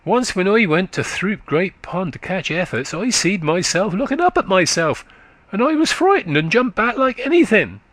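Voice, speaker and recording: A man's voice: sits high, very animated, moderate pace, fairly bright tone, no room sound, pitched above the performer's own voice, exaggerated voice. Man doing a piping little country boy. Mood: eager. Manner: childlike, piping, dialect speech. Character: small chattering country boy.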